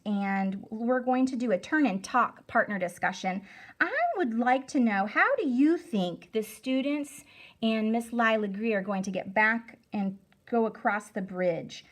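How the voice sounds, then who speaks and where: Slow, very expressive woman reading aloud. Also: fairly high pitch. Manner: deliberate, emphatic, instructive. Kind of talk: expressive storybook read-aloud for children.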